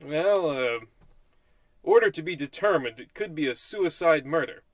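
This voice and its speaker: Man acting as nonchalant detective, fairly high voice, deadpan, comic radio drama, dry sound. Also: moderate pace, no room sound. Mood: deadpan, unbothered. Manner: deadpan, matter-of-fact. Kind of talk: comic old-time radio play acting.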